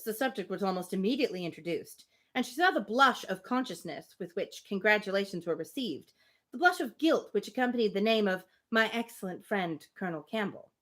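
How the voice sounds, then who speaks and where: A woman reading a classic novel aloud on a livestream in an expressive, informal manner, ready to break off for casual asides. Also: medium pitch. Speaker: reader hosting a livestream reading.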